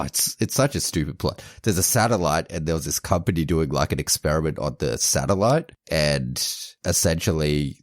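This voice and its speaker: Very deep-voiced man rambling through a plot. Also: no room sound, clean studio sound. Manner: rambling with frequent restarts and self-corrections, laughing at the plot. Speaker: podcast co-host. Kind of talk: casual chat, retelling a movie plot.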